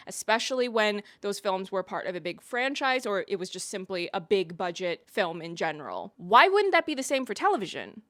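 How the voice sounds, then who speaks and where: A woman giving conversational video commentary as a reviewer, putting deliberate emphasis on key words. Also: medium pitch, fairly bright tone, no room sound, clean studio sound. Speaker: video essayist and reviewer on her own channel.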